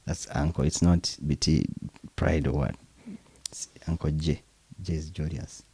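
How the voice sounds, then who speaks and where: Ugandan male percussionist, Luganda native, husky low voice, easygoing with churchy asides, dry studio sound. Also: steady tone, unhurried, darkish tone, no room sound. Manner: chuckling, preacherly asides, demonstrates instruments. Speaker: percussionist guest. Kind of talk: relaxed interview conversation.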